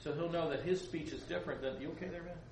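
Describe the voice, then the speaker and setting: A man preaching to a camp group, telling the story with acted-out voices and chatty asides. He sits back from the microphone in a roomy, echoing space, with steady noise behind him. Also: on the high side, very fast. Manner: acts out voices, laughs often, chatty asides. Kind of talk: animated storytelling sermon. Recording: roomy echo, distant mic, background noise.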